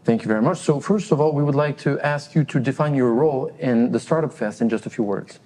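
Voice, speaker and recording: Mellow-toned man hosting an interview. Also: medium pitch, in a large hall. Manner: polite, slightly halting questions. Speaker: podcast host, interviewer. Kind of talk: interview questions.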